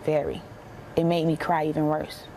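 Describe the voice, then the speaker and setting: A young American woman giving a personal account in an interview, plain-spoken and halting, repeating herself for emphasis. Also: low-pitched, level tone, warm tone, a little hiss or hum. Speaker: interviewee, bereaved military widow.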